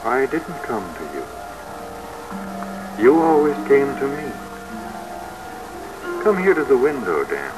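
An actor playing a calm, knowing visitor, a voice of conscience in an old radio drama, measured and gently probing. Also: male voice, medium pitch, poor audio. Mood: gently commanding. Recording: old radio broadcast, music behind.

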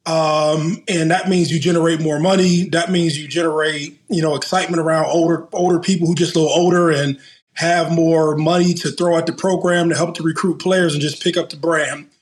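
A man's voice: fairly high pitch, fairly even intonation, brisk. A man co-hosting a podcast in a studio, laying out his points step by step in a thoughtful way. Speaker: podcast co-host. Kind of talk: casual chat, measured explanation.

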